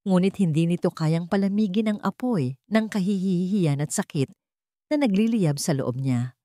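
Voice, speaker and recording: Female narrator, slightly low pitch, emotive, solemn, scripted dramatic storytelling, dry studio-like sound. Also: lively intonation, moderate pace, warm tone, dry recording.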